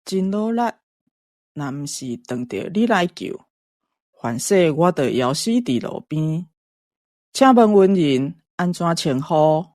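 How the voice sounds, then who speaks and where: A woman acting a starving, grateful mountain fellow in a radio drama, with a Taiwanese accent and a drawn-out, plaintive delivery. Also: deep voice, expressive, a little mellow, no room sound.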